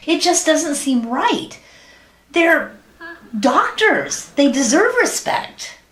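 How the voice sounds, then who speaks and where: An actress playing a sweet, naive, adoring wife who asks guileless questions in a sitcom-style radio play. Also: female voice, high voice, big pitch swings, takes it slowly, heavily acted. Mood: innocent, reproachful. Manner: innocent, earnest, guileless questioning. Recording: noisy track with clicks.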